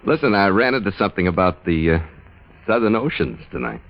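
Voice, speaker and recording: An actor playing a scheming, smooth-talking waterfront tough in a 1940s radio noir, slangy and insinuating, on a dull old recording. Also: male voice, sits low, big pitch swings, a little fast. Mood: sly, sweet-talking.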